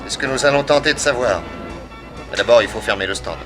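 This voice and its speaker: An actor playing a composed, authoritative detective in a French radio serial, speaking in a brisk, assured way. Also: male voice, mid-range voice, music in the background, lightly acted. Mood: steady, calming. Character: famous detective, male, composed, authoritative, methodical.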